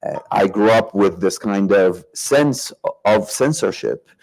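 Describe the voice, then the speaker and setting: Reflective panelist from Iraq, man, lowish voice, searching delivery. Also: slow pace, gravelly, a little mellow, low-quality recording. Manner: searching, reflective, circles back to rephrase. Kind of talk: panel discussion.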